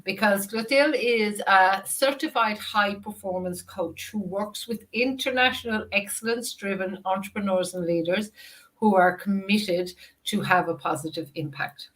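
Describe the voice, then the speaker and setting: A woman's voice: mid-range voice, measured pace, a bit bright. Upbeat Irish woman hosting an interview. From Ireland. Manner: upbeat and effusive. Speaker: host. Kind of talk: interview host introduction.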